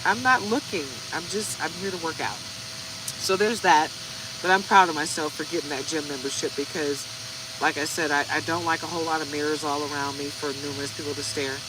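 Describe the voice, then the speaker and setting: Chatty woman in her forties, lowish voice. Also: steady tone, a little fast, background noise. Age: 40s. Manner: chatty, talks straight to viewers. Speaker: tarot card reader. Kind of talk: casual tarot reading vlog.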